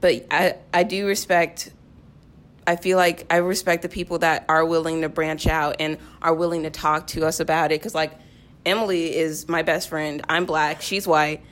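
A woman's voice: deep voice, level tone, normal speed. A young woman talking casually and candidly as a podcast guest, earnest about her personal feelings. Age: teens to young adult. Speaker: podcast guest, cast member of a play. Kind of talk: casual, personal group discussion.

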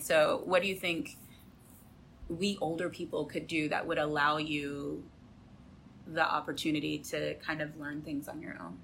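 Low-voiced woman hosting a conversation. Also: creaky, fairly bright tone, slight background noise. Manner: rambling, thinking aloud as she asks. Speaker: podcast host. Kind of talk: conversational group interview.

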